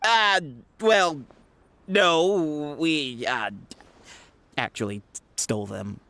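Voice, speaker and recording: An actor voicing a cowardly petty bandit in a video game, stammering and fawning nervously. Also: male voice, fairly high pitch, extremely slow, pushed and bright, exaggerated voice.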